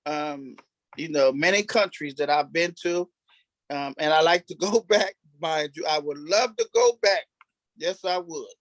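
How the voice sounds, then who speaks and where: A man's voice: on the high side, unhurried, a bit bright, no echo. A middle-aged man casually reminiscing in an interview, earnest and repeating himself for emphasis. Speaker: cast member being interviewed, military veteran.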